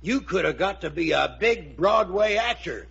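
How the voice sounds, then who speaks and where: An actor playing a henpecked, good-natured old riverboat captain in a 1950s radio drama, speaking in a husky, rustic, ungrammatical way. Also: male voice, sits high, lively intonation, normal speed, a bit bright, full character voice. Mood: kindly, advising. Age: older. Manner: folksy, rustic, ungrammatical speech. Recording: muffled vintage 1950 radio recording.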